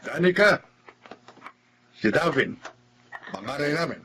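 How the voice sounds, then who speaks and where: Very slow, kindly father. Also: fairly high pitch, big pitch swings, noisy background, exaggerated voice. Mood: welcoming. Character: kindly, reassuring adoptive father of a household. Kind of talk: Tagalog radio drama acting.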